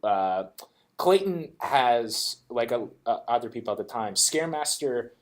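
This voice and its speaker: A man in casual chat, hosting a podcast. His speech rambles, full of fillers and restarts, in an ordinary middle pitch. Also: expressive, a little slow. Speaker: podcast host.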